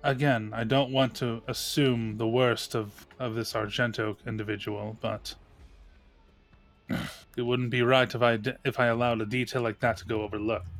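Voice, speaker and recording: A man playing a cautious, uneasy female elf warrior in a tabletop game, with measured, formal, deliberating speech. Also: medium pitch, fairly bright tone, natural voice.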